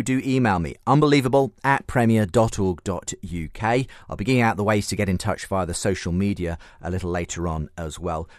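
A man's voice: fairly low pitch, no room sound, studio quality. A man presenting a radio programme as its host, introducing items and guests.

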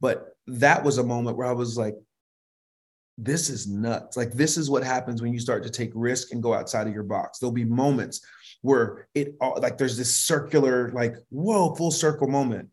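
A man with a naturally high voice speaks at an ordinary pitch for his usual talk. He tells stories casually and with animation, with plenty of restarts and asides, and laughs often. He sounds middle-aged, easy and conversational. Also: moderate pace. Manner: animated, laughs often, frequent restarts and "you know what I mean". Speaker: worship leader and vocal producer, interview guest. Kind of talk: relaxed conversational storytelling.

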